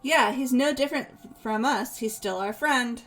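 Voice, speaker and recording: A woman plays a friendly, kind-hearted schoolgirl in a game. Her voice is on the high side, casual and sincere. Faint music sits behind it. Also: normal speed, fairly bright tone, poor audio. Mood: sympathetic. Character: kind-hearted, simple, friendly teenage girl. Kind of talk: amateur fan voice acting of a game.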